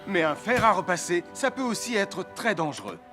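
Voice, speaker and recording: A kindly cartoon firefighter explains safety rules in a steady, reassuring way. His voice sits a little high. Lively children's music plays clearly beneath him. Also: normal speed, exaggerated voice. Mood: steady advice. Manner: calm, reassuring instruction. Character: Sam, a friendly adult male firefighter. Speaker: safety narrator. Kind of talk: French cartoon dub. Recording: studio voice with loud cartoon music.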